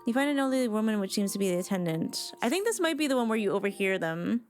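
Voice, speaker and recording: A woman's voice: mid-range voice, very animated, fast talker. A woman streamer giving casual live reaction commentary on a game, chatty and musing aloud. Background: game music.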